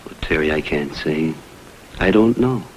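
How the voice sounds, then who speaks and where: Earnest, lively-voiced American photographer-sleuth. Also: male voice, extremely low pitch, a little slow, a little hiss or hum, poor audio. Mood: concerned, probing. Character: earnest, persistent male photographer-investigator. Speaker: actor in a crime drama. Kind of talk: vintage American TV crime drama dialogue.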